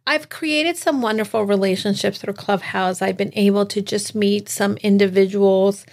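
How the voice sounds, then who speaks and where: A woman hosting a podcast, chatting casually with a guest and filling the pauses with soft affirming murmurs. Her voice carries a gravelly fry at mid pitch. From United States. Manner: warm affirming, frequent mm-hmms. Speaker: podcast host. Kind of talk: casual interview chat.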